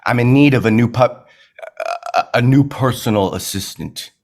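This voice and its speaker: A man's voice: mid-range voice, moderate pace, natural voice. A man playing an unhinged, manipulative film director in a screenplay table read, with a stammering, theatrical, erratic delivery. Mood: menacing, coaxing.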